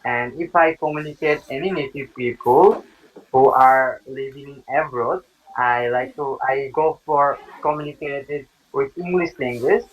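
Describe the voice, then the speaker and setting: Young Bangladeshi male, non-native English, halting exam-candidate delivery, mid pitch. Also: dull audio. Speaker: test candidate. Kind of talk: practice speaking test.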